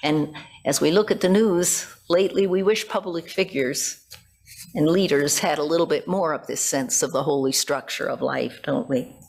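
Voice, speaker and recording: American woman preaching in measured phrases. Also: low voice, gravelly, darkish tone. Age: middle-aged. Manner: deliberate, phrase-by-phrase delivery with rhetorical questions. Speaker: pastor preaching. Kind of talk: sermon, reflective with questions to congregation. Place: church sanctuary, at the pulpit. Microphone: gooseneck pulpit microphone.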